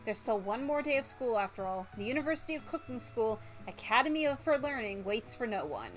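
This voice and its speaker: Female streamer narrating a visual novel: bright-spirited, theatrical, highish swooping pitch, music underneath. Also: sing-song, fairly quick, rough recording. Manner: theatrical, giggly. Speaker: livestreamer playing a visual novel. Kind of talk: playful game streaming, reading story narration aloud. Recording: music behind the voice.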